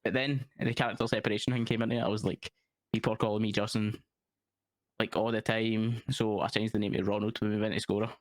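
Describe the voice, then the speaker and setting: Casual glaswegian dude, young, swears a lot, keeps saying mate, dry mic. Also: male voice, fairly low pitch, little pitch movement, fast talker, dry recording. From Scotland. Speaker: guest, roleplay streamer. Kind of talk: casual chat.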